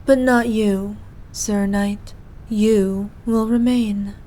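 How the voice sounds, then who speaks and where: A woman's voice: mid-range voice, steady tone, drawn-out words, warm tone, slight background noise. A woman playing an evil, imperious queen in a scripted roleplay, speaking in a haughty, formal, commanding manner. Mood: regal, weary. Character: evil imperious queen, cruel to subjects, doting on her knight. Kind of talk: scripted ASMR roleplay acting.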